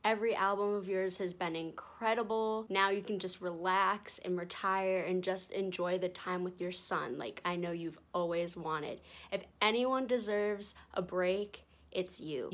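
A woman's voice: mid-range voice, steady tone, moderate pace. A young woman in an armchair indoors recording an earnest, sincere congratulations message into a handheld phone camera. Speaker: fan sending a video message.

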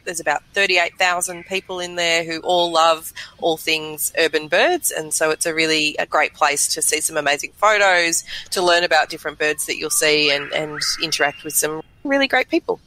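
A woman's voice: low voice, bright, forceful tone, slight background noise, rough recording. A woman sharing information as a regular guest in casual chat. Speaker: regular guest, bird program manager.

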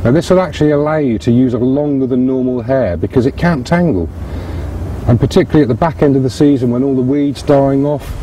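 A man in his forties explains a fishing setup in a steady, practical way while seated on a grassy bank. His voice is warm and mellow, picked up from a little way off. A faint outdoor hum sits behind him. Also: mid-range voice, brisk. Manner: steady explanatory, talks through hands-on steps. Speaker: host presenting fishing tactics. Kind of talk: outdoor instructional demonstration. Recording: distant outdoor mic with faint background noise.

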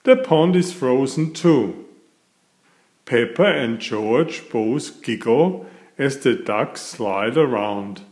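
Slow, expressive man reading a picture book. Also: medium pitch, roomy sound. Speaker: picture book reader and author. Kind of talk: slow read-aloud storytelling for young children.

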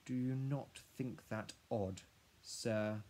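A man voicing a polite, principled, concerned professor with a measured, formal manner in a storybook. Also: fairly low pitch, darkish tone. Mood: challenging.

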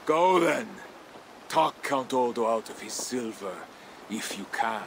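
A scornful, vengeful Viking warlord in a game drama. His voice sits at a middling pitch, curt and contemptuous, over faint ambient noise. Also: lively intonation, takes it slowly, a bit bright. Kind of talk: dramatic game cutscene acting.

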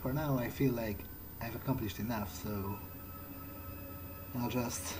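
A man roleplaying a polite, pensive vampire in a video game, thinking aloud in a hesitant, measured way. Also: medium pitch, background noise, close to an everyday voice. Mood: musing. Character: polite, pensive male vampire musing to himself. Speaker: gaming video creator playing in character. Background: loud game music.

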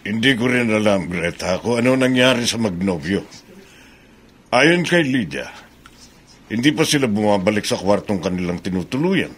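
An actor playing a concerned, earnest village mayor in a horror radio drama, with music behind him. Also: male voice, lowish voice, animated, normal speed, a bit bright, slight background noise. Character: concerned male village mayor, dutiful and uneasy.

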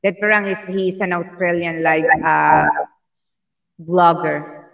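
A woman from the Philippines with a Filipino accent, chatting haltingly on a livestream and searching for her words. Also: fairly low pitch, fairly even intonation, a bit bright. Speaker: livestreaming artist, host. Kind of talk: casual chat on a livestream call. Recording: echoey, dull livestream call audio.

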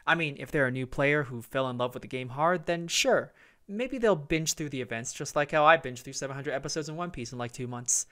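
A man's voice: mid-range voice, fairly quick, fairly bright tone. Man giving casual game review commentary. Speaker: video game review host. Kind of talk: conversational video commentary.

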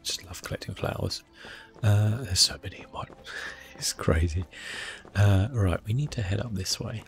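A man speaks in a low, hushed murmur with a gravelly creak in it. He gives relaxed ASMR gameplay commentary, often slipping toward a whisper, with faint music underneath. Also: moderate pace. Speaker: gaming video host.

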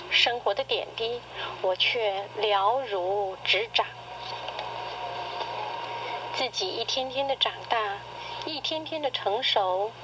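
Woman, middle-aged, radio drama narration, fairly high pitch, literary and expressive, clearly noisy cassette playback. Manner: measured, literary, expressive recitation. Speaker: narrator of a personal essay. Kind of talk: radio drama style essay reading. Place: played back from a cassette recorder speaker. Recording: noisy cassette tape played through a recorder.

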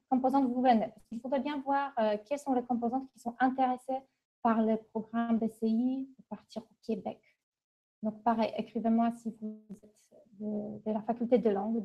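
A middle-aged woman from Poland with a Polish accent, presenting an informal webinar in a halting, conversational way. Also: on the high side. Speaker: university international relations manager, webinar presenter. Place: small office, indoors. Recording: dull, lo-fi webcam audio.

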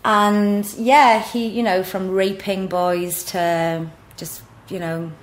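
A woman's voice: mid-range voice, steady tone, slow pace, rough recording. A middle-aged Welsh woman, a podcast guest, telling stories frankly with easy laughs. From Wales. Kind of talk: casual chat. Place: office.

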